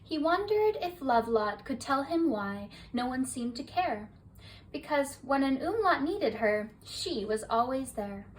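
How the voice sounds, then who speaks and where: A woman reading a children's bedtime story aloud in a soft, rhythmic rhyming delivery. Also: sits high, very animated, a little slow. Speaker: storybook reader. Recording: distant mic, faint hiss.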